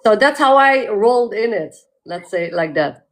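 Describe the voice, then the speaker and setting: A woman's voice: medium pitch, animated. A woman from the Netherlands, a guest and metal detectorist, telling her story casually and laughing often, with many ums and likes. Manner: chatty, laughs often, fills pauses with uh and like. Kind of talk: casual interview chat.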